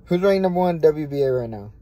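A man's voice: a little high, lively intonation, fast talker, warm tone. A young man from the United States, chatting brashly on a phone livestream from a dim room at night, repeating himself and swearing freely. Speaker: boxer on a social media livestream. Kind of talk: casual livestream chat. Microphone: phone held close.

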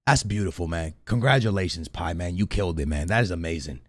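A man's voice: low voice, fast, no room sound. Guy streamer reacting to clips, talks slangy to chat, dry close mic. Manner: slangy, addresses live chat. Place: small indoor streaming room. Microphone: close studio mic on boom arm.

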